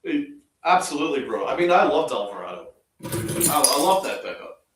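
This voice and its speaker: A man's voice: fairly high pitch, very animated, brisk, background noise. A man co-hosts a live basketball watch-along, chatting casually and mostly giving easygoing agreement. His voice comes from a distance in an echoey room, off the mic. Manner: laid-back, short agreeing replies. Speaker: co-host. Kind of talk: casual chat.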